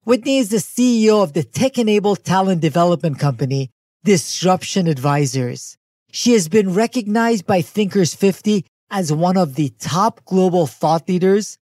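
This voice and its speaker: A man's voice: sits high, expressive, normal speed, a little mellow, no echo, studio quality. A man hosting a leadership podcast, introducing and interviewing a guest.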